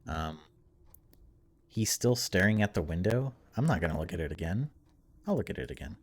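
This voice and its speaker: A man with a low voice plays through a game for viewers. He reads the story text out loud in a casual let's play style, breaking off to comment informally on what he reads. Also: lively intonation, normal speed, breathy edge. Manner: informal, reacts aloud between read passages. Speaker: gaming video host.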